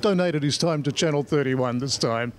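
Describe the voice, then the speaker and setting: Higher-pitched Australian man, reporting on location. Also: normal speed. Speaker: TV news reporter. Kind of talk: light local TV interview.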